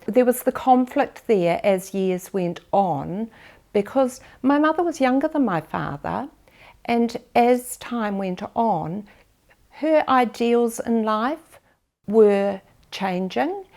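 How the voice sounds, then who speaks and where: A New Zealand woman in her fifties or sixties tells her family's story for a documentary in an unhurried, thoughtful way. Her voice carries a gravelly edge, and she takes her time with frequent pauses. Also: fairly high pitch, expressive, mellow. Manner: measured and reflective, with pauses. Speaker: documentary interviewee. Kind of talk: personal family memoir.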